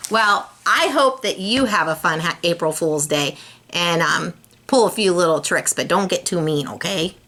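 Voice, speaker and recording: A woman reading a storybook aloud for children in an expressive, teacherly way. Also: lowish voice, moderate pace. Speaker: storybook reader. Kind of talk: animated read-aloud for children.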